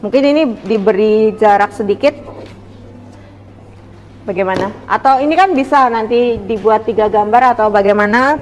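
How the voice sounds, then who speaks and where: A woman coaches student groups informally as their teacher, gently instructing and often checking with questions. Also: on the high side, moderate pace. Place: indoor school classroom. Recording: echoey room with background noise.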